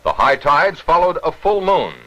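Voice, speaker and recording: An American man narrates a 1960s newsreel with formal, dramatic weight. His voice sits a little high for a man, and the old soundtrack carries clear noise behind him. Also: big pitch swings, normal speed, sharp tone, poor audio. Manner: formal, dramatic announcer delivery.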